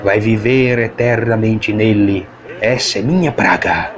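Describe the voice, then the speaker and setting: A man's voice: lowish voice, fairly even intonation, close to an everyday voice. A man with a Brazilian accent voicing a sinister, solemn man who pronounces a curse in an audiobook horror story. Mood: solemn, menacing. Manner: slow, ominous, solemn.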